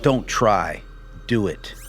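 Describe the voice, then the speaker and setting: An actor playing an impatient, ruthless alien officer in a radio drama, speaking in a curt, formal manner. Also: male voice, fairly low pitch, lively intonation, slow pace, over loud music. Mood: curt impatience.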